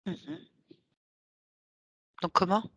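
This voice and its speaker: Soft-whispering woman hypnotherapist with a bright edge. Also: extremely slow. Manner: soft, patient, repeating short questions, often whispering. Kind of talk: guiding a hypnosis session.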